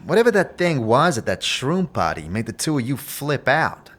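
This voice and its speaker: Man voicing a raspy, cheeky undead sidekick. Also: medium pitch, sing-song, normal speed, a bit bright. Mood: cheeky, flustered. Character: wisecracking floating undead sidekick, cheeky and sarcastic. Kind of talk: audio drama character acting.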